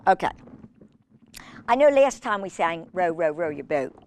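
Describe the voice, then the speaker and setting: A woman hosting children's storytime, reading aloud and chatting in an expressive, gushing manner with fond asides. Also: fairly low pitch, measured pace, a little hiss or hum. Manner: gushing, expressive, exclaiming over pictures.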